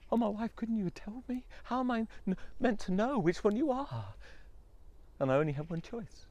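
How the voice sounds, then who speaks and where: A man's voice: high-pitched, animated, moderate pace, darkish tone, voice pushed up. A storyteller voicing a bewildered husband who has lost his wife, anxious and pleading. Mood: desperate. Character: grieving husband, frantic and bewildered.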